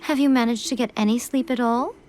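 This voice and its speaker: A woman voicing a poised, knowing, gently teasing shrine priestess in a video game cutscene. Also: on the high side, fairly even intonation, brisk, faint music behind, natural voice.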